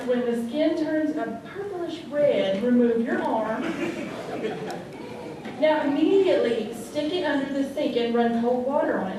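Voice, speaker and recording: A woman playing a folksy, cheerfully brutal small-town home remedy lady in a comic radio monologue, chatty and instructive. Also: sits high. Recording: distant, very echoey, noisy stage recording.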